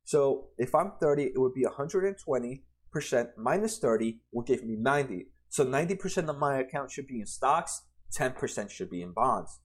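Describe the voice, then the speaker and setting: A man's voice: medium pitch, lively intonation, fairly quick, slightly rough. A man in his twenties explaining retirement funds over slides in a casual way, often restarting and adding "right?". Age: 20s. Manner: conversational, many restarts and "right?" tags. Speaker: personal finance explainer.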